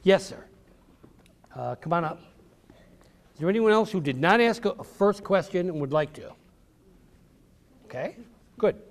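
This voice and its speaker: A man's voice: sits high, expressive, a little slow, long pauses. A man giving an educational talk to children as a visiting author, addressing them directly and repeating questions and key phrases. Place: public library room with a group of children.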